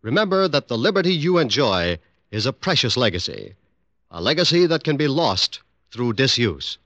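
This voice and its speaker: A man's voice: mid-range voice, very animated, normal speed, fairly bright tone. A man with an American accent making formal, declamatory scripted announcements in mid-century radio style. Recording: dull vintage radio recording with music.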